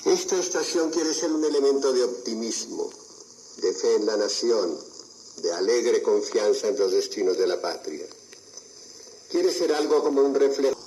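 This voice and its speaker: A man's voice: medium pitch, animated, normal speed, dark tone, a little hiss or hum, rough recording. A man gives a solemn, oratorical formal address in an archive radio recording. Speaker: speech-maker on radio.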